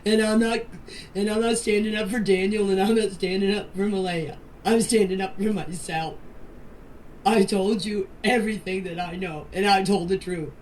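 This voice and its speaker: A woman's voice: mid-range voice, fairly even intonation, normal speed, a little mellow. An older woman making an emotional personal statement in voice messages, plain-spoken, pleading and insistent. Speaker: grandmother answering questions in a group voice chat. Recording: distant, noisy amateur voice-message recording.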